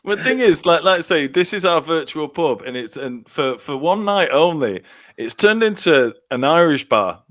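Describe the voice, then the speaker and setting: A man's voice: on the high side, lively intonation, normal speed, a bit bright. A man hosting a podcast in casual chat over a video call, gushing with stammering enthusiasm. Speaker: podcast host.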